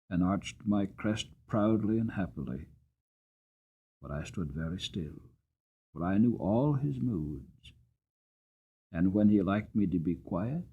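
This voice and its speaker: A man voicing an old war horse in a storybook, mournful and proud, with solemn, measured, emotive delivery. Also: medium pitch, darkish tone, husky, heavily acted. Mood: tender, subdued. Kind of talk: dramatic audiobook reading.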